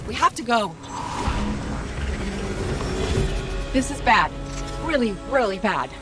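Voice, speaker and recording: A woman voicing a small, sharp-witted AI companion in a video game, wry, informal and loyal. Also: a little high, very animated, moderate pace, over loud music. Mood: alarmed, urgent. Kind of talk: scripted game cutscene acting.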